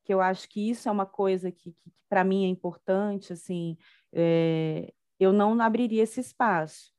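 A woman's voice: medium pitch, steady tone, normal speed, darkish tone, clear, resonant tone, no echo, studio quality. A middle-aged woman from Bahia with a Brazilian accent, chatting casually as an interview guest. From Salvador, Bahia, Brazil. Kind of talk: casual chat.

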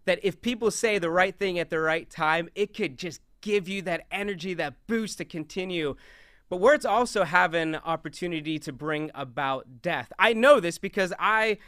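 A young man with a big, loud voice preaches from a stage, animated and always in motion. His tone is bright and crisp, slightly higher than most men's. The sound is dry and close. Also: normal speed, no room sound. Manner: animated, talks with hands, moves around on stage. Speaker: youth speaker. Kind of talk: lively stage talk on scripture.

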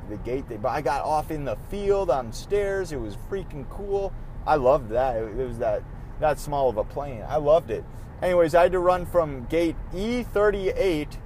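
A young man with a slightly raspy, tired voice hosting a casual solo podcast while driving, rambling and interrupting himself. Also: fairly high pitch, rough recording. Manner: rambling, animated, self-interrupting. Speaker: podcast host. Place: in a car, driving outdoors. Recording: faint road noise in a car. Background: car and street noise.

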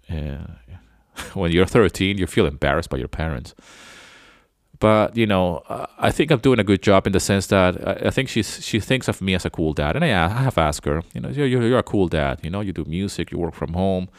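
Very deep-voiced man rambling. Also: animated, normal speed, no echo, studio quality. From Venezuela. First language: Spanish. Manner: rambling, loose and chatty. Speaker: podcast host. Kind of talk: casual reflective monologue.

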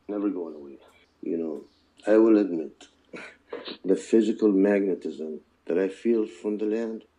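Low-voiced, mellow older man, earnest. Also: poor audio. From born in Palestine, moved to the US as a child. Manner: earnest, reflective, deliberate phrasing with emphatic repetition. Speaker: interviewee, father interviewed by own child. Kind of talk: personal oral history interview.

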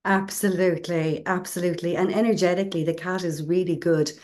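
A woman's voice: fairly low pitch, fairly even intonation, a little fast, darkish tone. A woman co-hosting a podcast, explaining ideas in a conversational casual chat with asides. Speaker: podcast co-host.

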